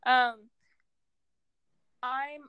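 A woman's voice: high-pitched, flat delivery, very slow, muffled recording. A teenage girl co-hosting a podcast, rambling and joking in casual chat with lots of fillers. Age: teens. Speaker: podcast co-host.

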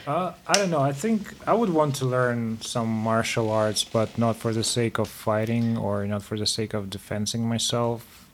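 A man's voice: medium pitch, moderate pace. A man chatting casually, mostly giving short reactions and brief questions. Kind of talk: casual chat. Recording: faint background noise.

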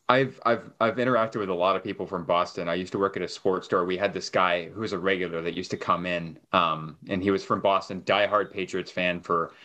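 Very low-voiced guy hosting a sports podcast, chill and hyped. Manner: relaxed, hypes up, asks long questions. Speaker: podcast host. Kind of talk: casual sports podcast chat.

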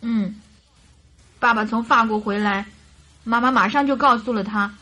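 A woman's voice: on the high side, normal speed, bright, forceful tone. A woman playing a naive, devoted young lady in distress in a radio drama, pleading and girlish. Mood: subdued, timid. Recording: radio drama with music behind.

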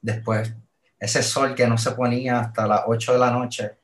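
A man from Puerto Rico with a Puerto Rican accent, a guest in a casual chat who talks hesitantly and pauses to find his words. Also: medium pitch, level tone. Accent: Puerto Rican Spanish. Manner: hesitant, many fillers and restarts. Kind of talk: casual conversation. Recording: roomy echo.

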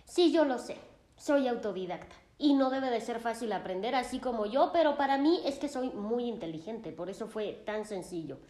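A theatrical young man who swings between self-pity and boasting, put on by a woman. His voice sits a little higher than hers, with a mellow tone in a roomy space. The recording has noticeable echo. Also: animated, normal speed, natural voice. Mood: boastful. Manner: dramatic, self-pitying, boastful.

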